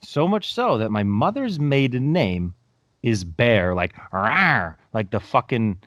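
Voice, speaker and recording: A man's voice: mid-range voice, very animated, slow pace, a bit bright, lo-fi sound. A man hosting a podcast, chatting casually and joking, swearing freely. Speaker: podcast host. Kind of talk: casual profane chat.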